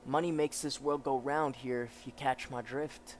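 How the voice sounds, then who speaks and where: A man's voice: mid-range voice, steady tone, moderate pace, slight background noise, close to an everyday voice. A man voicing a cheeky, mocking New York street hustler from a comic, slangy and taunting. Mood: cocky, teasing.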